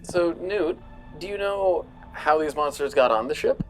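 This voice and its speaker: Woman gamer with a lowish voice. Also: animated, unhurried, soft background music, poor audio. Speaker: player in a tabletop game. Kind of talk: casual in-character table talk.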